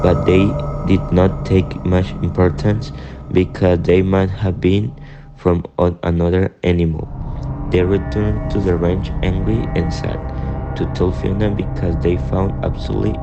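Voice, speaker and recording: A young man narrates an amateur radio drama in a very low, fairly even voice. His phrasing is halting. Music plays clearly behind him. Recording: dull lo-fi sound, music behind.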